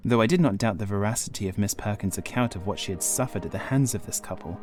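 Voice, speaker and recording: A man reading a gothic horror audiobook in the first person, in a measured, formal storytelling manner. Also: on the low side, darkish tone, soft background music. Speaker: audiobook narrator.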